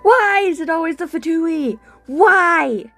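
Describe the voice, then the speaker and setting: A woman's voice: extremely high pitch, slow pace, over music. A woman giving casual let's-play commentary, reacting informally in short exclamations. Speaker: gamer, video host.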